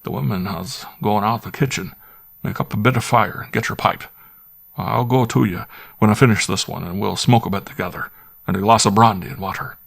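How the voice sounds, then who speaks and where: A man voicing a middle-aged country squire who speaks in loud, pleading rustic dialect. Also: mid-range voice, moderate pace, creaky, pitched above the performer's own voice, close to an everyday voice. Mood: gruff coaxing.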